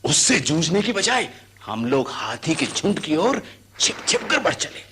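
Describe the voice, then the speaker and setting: A man's voice: a little high, unhurried, slight background noise, exaggerated voice. An actor playing an earnest, servile male elephant catcher who tells his tales vividly in a radio drama. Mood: excited recounting. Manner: servile address, vivid excited recounting. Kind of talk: Hindi radio drama acting.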